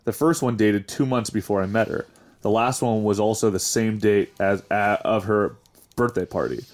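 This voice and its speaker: A man with a fairly low voice hosts a relaxed talk podcast. He speaks informally, in the loose style of chatting among friends or reading out stories to them. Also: moderate pace. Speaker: podcast host. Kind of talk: casual chat, reading listener confessions aloud.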